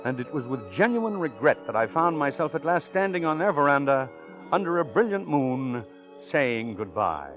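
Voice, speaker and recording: Suave gentleman swindler, courtly and wordy, very animated delivery, vintage radio sound. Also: a little high, moderate pace. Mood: wry narrating. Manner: ornate, courtly, chuckling diction. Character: smooth, genteel male con man posing as professor. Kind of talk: old-time radio acting with first-person narration. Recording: muffled old radio recording, faint music.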